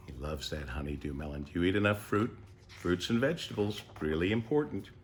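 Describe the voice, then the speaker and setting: A man's voice: low voice, expressive, brisk, a little hiss or hum. A man hosting a story time for young children, reading aloud and chatting directly to them with questions and asides. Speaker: radio host reading a children's book.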